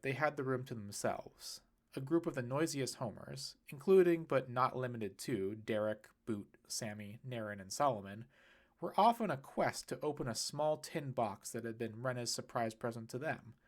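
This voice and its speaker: A man reading fiction aloud as an audiobook narrator, in an expressive scripted style. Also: mid-range voice.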